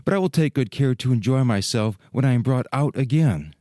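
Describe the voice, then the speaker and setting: A man's voice: mid-range voice, animated, a little fast, warm tone, no echo, clean studio sound, lightly acted. A man playing a wistful fir tree in an audio storybook, with a sighing, old-fashioned way of speaking. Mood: hopeful. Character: wistful fir tree, regretful yet eager, male.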